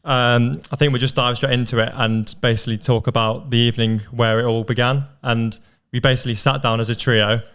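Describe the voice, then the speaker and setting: A man's voice: medium pitch, fairly quick, few pauses, clear, resonant tone. A man in his twenties co-hosting a casual podcast chat, leading the talk loosely with lots of filler words. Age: 20s. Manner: relaxed, leads the talk with many "kind of" fillers. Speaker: podcast co-host.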